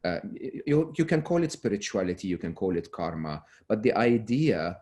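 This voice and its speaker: Man, very deep pitch, earnest, restarts often, remote call. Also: lively intonation, moderate pace, a little mellow. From Egypt. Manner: earnest, frequent restarts, drops voice to close points. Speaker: guest. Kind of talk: relaxed interview talk.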